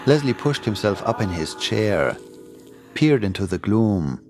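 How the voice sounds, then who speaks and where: A man's voice: on the low side, big pitch swings, a little slow, darkish tone, faint music behind. A man reading a scripted horror story as its narrator.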